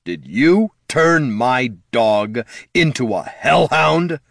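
A man voicing an indignant wizard, a wronged son who stammers and speaks in halting accusations, in a fantasy storybook. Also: medium pitch, wildly swooping pitch, a bit bright, husky, no room sound, clean studio sound, full character voice. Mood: angry.

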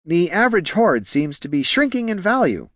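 A man voicing a timid, deferential fantasy clerk with a squeaky, anxiously formal manner in an audiobook. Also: very animated, moderate pace, a bit bright, no room sound, clean studio sound, exaggerated voice. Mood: anxious, dutiful. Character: nervous, deferential male Scribkin financial clerk.

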